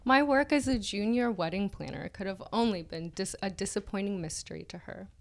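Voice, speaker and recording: A woman's voice: medium pitch, fairly quick, gravelly, a little hiss or hum. A woman co-hosting a podcast, reading an essay aloud in a theatrical way and breaking off into casual banter. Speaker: podcast co-host.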